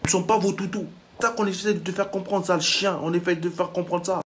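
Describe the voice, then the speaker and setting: A man's voice: high voice, fairly even intonation, fast talker. A man from Africa delivering a heated reaction monologue as a vlogger, indignant and lecturing, blunt to the point of insults.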